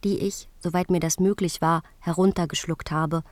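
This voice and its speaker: A woman's voice: lowish voice, steady tone, moderate pace, a little mellow, dry recording, lightly acted. A woman playing an affectionate, dutiful queen and mother, reading her letters in formal, old-fashioned phrasing in a radio drama. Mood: tender, confiding.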